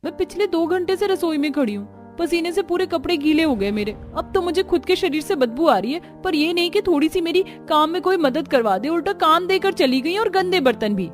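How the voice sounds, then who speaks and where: A woman's voice: very high-pitched, expressive, fairly quick, hardly any pauses, soft background music, voice pushed up. A woman voicing an overworked, complaining young daughter-in-law in a cartoon story, arguing emphatically. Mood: exasperated.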